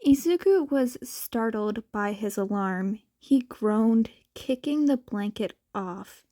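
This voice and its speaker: A woman's voice: fairly high pitch, lively intonation, takes it slowly, mellow, no room sound, studio quality. A woman narrating fan fiction as a scripted story reading.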